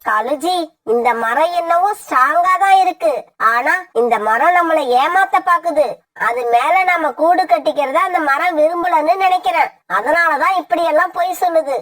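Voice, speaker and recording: A woman's voice: very high-pitched, moderate pace, a bit bright, far from the mic. A woman voicing a stubborn, suspicious female crow in an argumentative, insistent way for a children's cartoon fable. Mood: indignant, suspicious. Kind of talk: cartoon voice acting.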